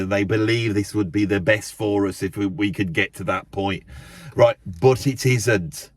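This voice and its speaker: A man ranting into a camera in his parked car, loud and emphatic, repeating himself, his nose a little blocked up. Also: on the low side, no room sound. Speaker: YouTube channel host. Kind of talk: impassioned monologue to camera. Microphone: camera set up in the car.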